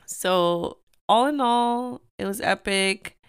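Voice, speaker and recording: A young woman hosting her own podcast, slightly hoarse and tired, chatting casually and telling a story with lots of "like". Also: mid-range voice, takes it slowly, dry recording, studio quality. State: tired from lack of sleep. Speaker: podcast host. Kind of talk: casual solo podcast chat.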